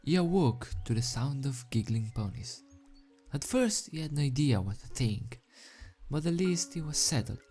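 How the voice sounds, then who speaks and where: A man narrates a fan fiction story in a dramatic amateur style. His voice sits at a medium pitch, he now and then trips on phrases, and music plays underneath. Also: expressive, moderate pace, warm tone. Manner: stumbles over words and rereads phrases.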